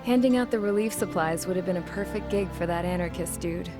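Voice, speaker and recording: A woman's voice: fairly low pitch, a little fast, close to an everyday voice. An actress voicing a blunt, plain-spoken young woman mechanic and pilot in a game cutscene, wry and down to earth. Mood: reflective. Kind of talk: game cutscene dialogue and narration. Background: loud music score.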